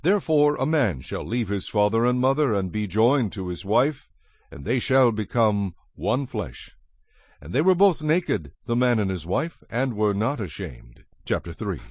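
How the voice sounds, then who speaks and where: A man narrating dramatized scripture in a solemn, measured manner. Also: fairly low pitch, no echo. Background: music.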